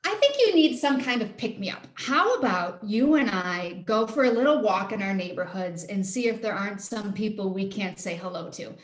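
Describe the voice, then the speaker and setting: An actress playing an earnest, caring woman, halting as she searches for words, in a play reading over a video call. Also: medium pitch, lively intonation, lightly acted. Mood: encouraging. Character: earnest, caring woman pleading with a cynical friend. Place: roomy space, live Zoom call. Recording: roomy echo on video call.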